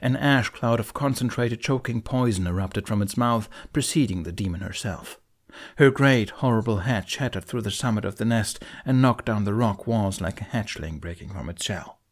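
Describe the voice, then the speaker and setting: A man narrating a fantasy adventure audiobook in an expressive, scripted storytelling style. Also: fairly low pitch, moderate pace.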